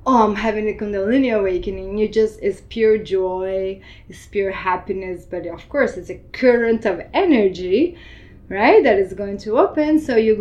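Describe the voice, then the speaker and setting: Brief: woman, yoga teacher in casual interview, easy laugh, rambling explanations. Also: mid-range voice. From Argentina and Brazil. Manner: laughs often, loose run-on explanations.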